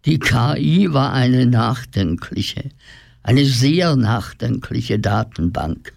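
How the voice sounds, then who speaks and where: A man tells a scripted fairy tale as the narrator of a radio play. His medium-pitched voice is strongly creaky and he takes his time, recorded close and dry. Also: darkish tone, no room sound.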